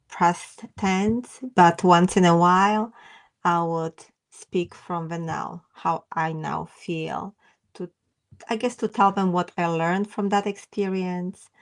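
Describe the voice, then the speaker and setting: A low-voiced woman who grew up speaking Polish talks about her memoir in plain, halting English full of small fillers. Her voice has a noticeable gravelly fry. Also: rough recording. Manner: hesitant, simple phrasing with fillers and laughs. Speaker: memoir author, guest. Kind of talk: podcast interview.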